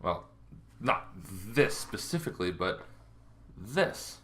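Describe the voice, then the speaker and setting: A man's voice: sits low, sing-song, slow, a bit bright, faint music behind. A man hosting a tabletop gaming video series, explaining casually and enthusiastically in an emphatic, informal way.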